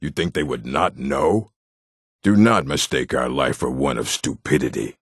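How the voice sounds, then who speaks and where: Very deep, gravelly orc chieftain. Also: male voice, unhurried, no room sound, clean studio sound, exaggerated voice. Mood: scornful, stern. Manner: terse, scornful, commanding. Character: gruff, weary, proud orc chieftain, bitter and domineering. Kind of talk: fantasy video game character acting.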